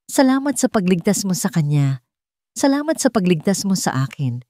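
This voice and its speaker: A woman plays a wealthy Filipino father in an audio drama. He speaks gently and earnestly, full of remorse. The voice is lowish and recorded very dry. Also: big pitch swings, normal speed, dry recording. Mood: remorseful, emotional.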